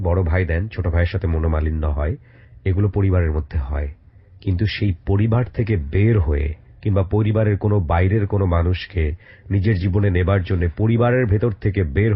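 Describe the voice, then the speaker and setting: A man with a Bangladeshi accent and a hoarse, sick throat, hosting a radio show and speaking earnestly to listeners. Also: sits low, no room sound, dull audio. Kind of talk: radio interview and reflective address to listeners. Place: radio studio.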